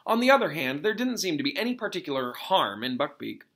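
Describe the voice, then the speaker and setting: A man reading a storybook aloud as a teacher, pausing to explain things simply and casually. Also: on the high side, sing-song, a bit bright. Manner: explains simply to young listeners.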